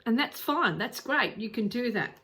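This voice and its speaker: A woman's voice: on the high side, animated, moderate pace, pushed and bright, husky, rough recording. A woman with an Australian accent giving casual travel advice to camera, earnest, with frequent ums and restarts. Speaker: travel vlogger.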